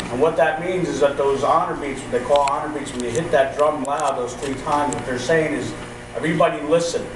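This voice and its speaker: A man leading a drum group, explaining traditions to listeners in a rambling way with fillers. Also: mid-range voice. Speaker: drum leader. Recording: echoey room, distant mic, noise.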